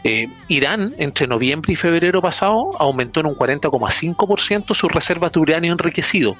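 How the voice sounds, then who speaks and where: A man's voice: fairly high pitch, a little fast, faint music behind. Chilean man running through world news. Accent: Chilean Spanish. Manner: relaxed, explanatory, with many restarts. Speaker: panelist reading international news. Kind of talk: casual podcast news roundup.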